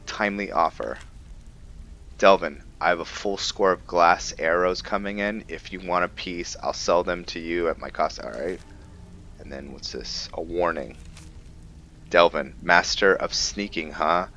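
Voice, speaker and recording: Deep, forceful male gamer, slightly dull mic. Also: unhurried. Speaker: gaming host. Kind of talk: casual roleplay game commentary.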